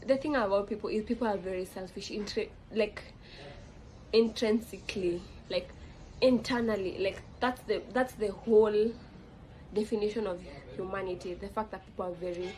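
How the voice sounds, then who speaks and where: A woman's voice: medium pitch, fairly even intonation, moderate pace, darkish tone, rough recording. A young woman with a Kenyan accent talks casually, rambling with lots of fillers and restarts. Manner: rambling, frequent fillers and self-corrections. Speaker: vlogger. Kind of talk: casual reflective monologue.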